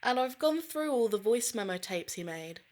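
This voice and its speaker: A woman's voice: fairly high pitch, animated, moderate pace, a little mellow, lightly acted. A woman playing a practical, friendly podcast co-host in a naturalistic audio drama, casual and understated. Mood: matter-of-fact.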